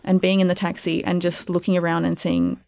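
Soft-spoken Australian woman, gravelly and dry. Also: on the low side, fairly even intonation, a little fast, no room sound. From Perth, Western Australia. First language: Spanish. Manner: reflective, many ums and likes. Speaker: guest, arts curator and producer. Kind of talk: casual interview.

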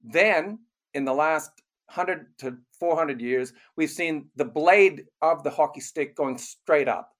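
An older man raised in Canada, an author and criminologist, giving measured, explanatory interview answers. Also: on the high side.

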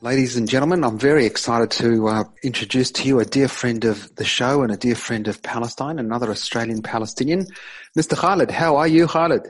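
A man's voice: mid-range voice, brisk, husky, faint music behind. A man hosting a community radio show, introducing his guest with enthusiasm and asking questions in a conversational way. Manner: conversational, some restarts. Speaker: radio host.

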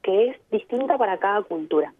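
A woman's voice: mid-range voice, lively intonation, brisk. An Argentine woman from Mendoza, a guest on a radio interview, speaking thoughtfully and explaining her points, often checking in with short tag questions. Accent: Argentine Spanish. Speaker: guest, immigrant sharing experience. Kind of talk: reflective radio interview by phone. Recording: tinny phone line.

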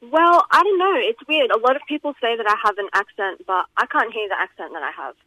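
A woman's voice: on the high side, expressive, quick pace, sharp tone, rough recording. A young woman from Iran, a radio guest who talks in a chatty, slightly nervous way. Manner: chatty, giggly, a bit nervous. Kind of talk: casual radio interview.

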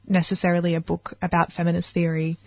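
A woman's voice: on the low side, monotone, fast talker, no room sound, dull audio. A woman hosting breakfast radio, enthusiastic, with many small affirmations. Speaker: radio host.

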